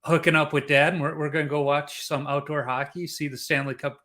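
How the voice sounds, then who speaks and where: A man's voice: a little high, level tone, a little fast, sharp tone. A middle-aged man from Chicago hosting a casual podcast, chatty and rambling with restarts. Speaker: podcast host.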